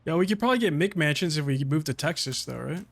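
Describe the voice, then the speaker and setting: A man giving casual, calm commentary while streaming a shooter game, with a low-key delivery that shows little emotion. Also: fast talker, vocal fry.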